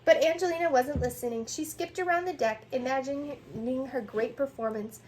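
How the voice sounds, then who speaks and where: Female storyteller, high pitch, animated picture-book read with small stumbles, noticeable background noise. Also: normal speed. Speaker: storyteller reading aloud to children.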